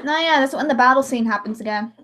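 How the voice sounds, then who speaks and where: High-pitched woman chatting casually on a livestream. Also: fast talker, fairly bright tone. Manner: rambling, theatrical, with sudden mock-dramatic outbursts. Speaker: livestream host and amateur voice-over editor. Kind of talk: casual livestream chat while editing.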